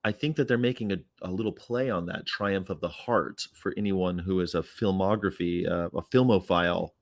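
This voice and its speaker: A man's voice: sits low, normal speed, no echo. A man in casual chat as a guest, teasing with absurd jokes.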